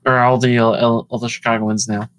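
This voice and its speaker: A man's voice: mid-range voice, little pitch movement, a little fast, no echo. A young man from Florida chats on a podcast and laughs through his own stories. His voice sits mid-range. The mic is close and the sound is dry. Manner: amused, laughs while telling stories. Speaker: podcast co-host. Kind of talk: casual chat.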